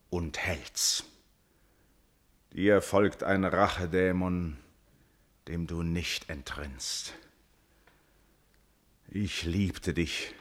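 An actor playing a wrathful, wounded royal father in a classical verse radio tragedy, declaiming solemnly and forcefully. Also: low voice, full character voice. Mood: bitter, grieving.

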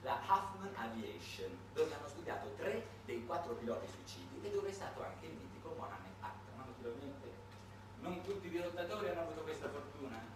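A man performing a satirical theatrical monologue with ironic, halting, dramatic delivery. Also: fairly high pitch, sing-song, distant mic, background noise. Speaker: monologue actor and narrator. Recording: very echoey room, recorded from afar.

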